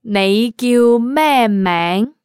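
Female co-host with a Cantonese accent, smooth resonant voice, dry studio sound, careful slow model phrases. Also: a little high, expressive, a little mellow, dry recording. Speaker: language lesson co-host. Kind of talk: scripted language lesson.

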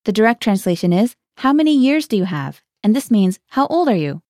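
A woman's voice: mid-range voice, very animated, brisk, darkish tone, no room sound, clean studio sound. A woman hosting a scripted language lesson, guiding the learner and translating each phrase into English.

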